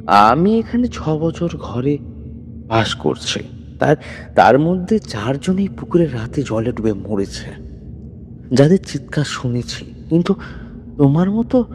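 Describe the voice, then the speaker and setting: Casting: male reader as kindly old village widow, sorrowful and grave, lively intonation, ghost-story setting, long reverb with background noise. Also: medium pitch. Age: older.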